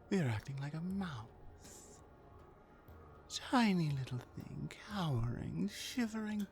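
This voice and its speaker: A woman playing a dominant, mean orc warrior in an ASMR roleplay, mocking and deliberate, with drops into breathy whispers. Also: deep voice, a little mellow, exaggerated voice. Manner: menacing, mocking, slow and deliberate, often dropping to breathy whispers. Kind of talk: ASMR roleplay, slow dramatic monologue.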